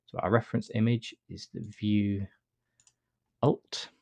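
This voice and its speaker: A man with a cold and a stuffy nose, calmly talking through a software tutorial step by step with frequent uh fillers. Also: fairly low pitch, takes it slowly, creaky, no room sound, dull audio. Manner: relaxed step-by-step explaining with many uh fillers. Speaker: astrophotography tutor. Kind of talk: screen-recorded software tutorial.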